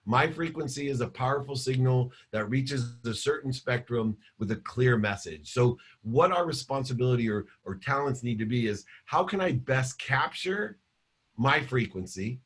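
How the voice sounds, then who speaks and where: A man in his fifties, a guest entrepreneur giving an energetic motivational interview answer full of repeated phrases. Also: medium pitch, moderate pace, husky. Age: 50s. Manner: energetic, repetitive storytelling.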